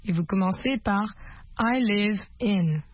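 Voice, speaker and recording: A woman teaching English to French speakers in a scripted, deliberate, instructional manner. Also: medium pitch. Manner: instructional, deliberate, repeats questions. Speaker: language teacher. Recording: muffled lo-fi audio, loud guitar music.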